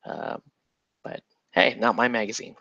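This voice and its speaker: A man's voice: lowish voice, unhurried, creaky, pushed and bright, no echo, lo-fi sound. A man hosting a miniatures hobby show, chatting casually while he builds models, rambling and joking with lots of fillers. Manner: breaks into laughter and puts on silly voices.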